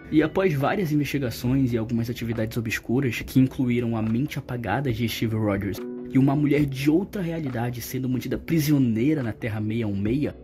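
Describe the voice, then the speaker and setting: A man with a Brazilian Portuguese accent delivering scripted opinion commentary to viewers as a video host. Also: mid-range voice. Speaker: YouTube video essay host. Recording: background music under the voice.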